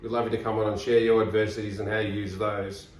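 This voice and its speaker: A man's voice: lowish voice, little pitch movement, fast. A man in his fifties with an Australian accent, co-hosting a motivational podcast in an upbeat, encouraging way. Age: 50s. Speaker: podcast co-host. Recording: roomy, distant mic.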